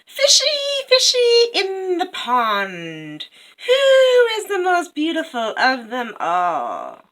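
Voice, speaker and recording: A woman acts out a wicked storybook queen, vain and jealous. The voice is pitched high and swoops theatrically, with drawn-out, imperious phrasing and a bright edge. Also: wildly swooping pitch, exaggerated voice. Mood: crooning, vain. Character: vain, jealous evil stepmother queen in a fairy tale.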